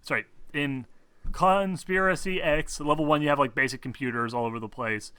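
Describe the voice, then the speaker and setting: A man's voice: a little high, normal speed, pushed and bright, smooth, no echo. A man working as game master, explaining tabletop game rules informally in a hesitant, rambling way with casual swearing.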